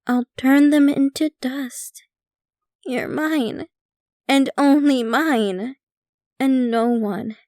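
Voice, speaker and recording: A woman playing a possessive, centuries-old vampire girlfriend in an intimate ASMR roleplay, speaking in an unhurried, doting yet casually sinister way. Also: on the high side, creaky, a little mellow, no room sound, studio quality. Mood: possessive, threatening. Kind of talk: ASMR roleplay, intimate scripted monologue to the listener.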